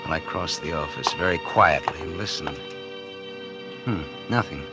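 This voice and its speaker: A man's voice: sits low, expressive, moderate pace. An actor playing a cold-blooded, scheming businessman in 1940s radio noir style, wry, calculating and dramatic. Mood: cool, calculating. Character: scheming cold-blooded businessman narrating his crime, feigning innocence. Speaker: actor narrating and playing the lead. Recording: vintage 1940s radio recording with music.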